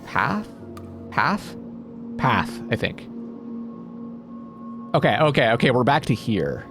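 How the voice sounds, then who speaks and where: A man giving let's-play commentary, reading game text aloud dramatically and thinking out loud as he plays. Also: mid-range voice, big pitch swings, takes it slowly, vocal fry. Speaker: video game streamer playing a narrative game. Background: music behind the voice.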